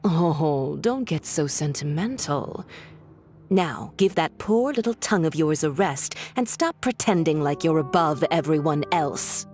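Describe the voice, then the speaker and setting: A woman playing a cold, imperious villainess in a video game, speaking in a measured, cutting, condescending way. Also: low voice, lively intonation, darkish tone. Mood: cold taunting. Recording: music behind the voice.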